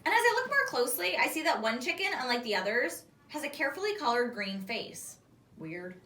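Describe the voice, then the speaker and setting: A woman reading a children's novel aloud like a teacher, expressive and animated, acting out the dialogue. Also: sits high, a little fast. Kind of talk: expressive storybook read-aloud. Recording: roomy echo, distant mic.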